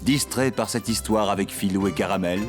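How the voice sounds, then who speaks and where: A man's voice: fairly low pitch, brisk, music in the background. A man reading scripted narration for a children's cartoon.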